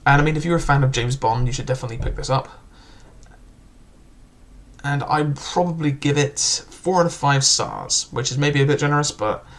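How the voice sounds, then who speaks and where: A man's voice: medium pitch, normal speed, a bit bright. A young man casually reviewing a book, chatty and loose, with frequent filler words and restarts.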